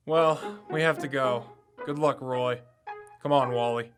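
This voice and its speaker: A man's voice: medium pitch, steady tone, unhurried, over music, close to an everyday voice. A man playing a cocky, dogged young amateur detective in an audio drama, self-assured and theatrical. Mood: confident.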